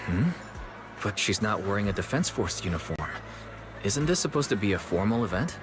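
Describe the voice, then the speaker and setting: A man's voice: on the low side, expressive, moderate pace, over music, lightly acted. A man playing an easygoing, curious young male investigator in a video game, with a casual, questioning manner. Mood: puzzled. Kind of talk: scripted video game dialogue.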